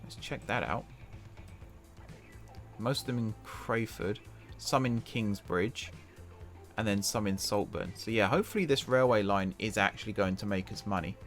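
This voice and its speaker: A man talks his way through a game in a relaxed, chatty manner, often poking fun at his own decisions. His voice sits on the lower side and sounds a bit croaky and worn. Music plays in the background under his voice. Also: normal speed. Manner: chatty, thinking aloud, self-deprecating. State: croaky from talking all day. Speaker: gaming channel host. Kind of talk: casual gameplay commentary.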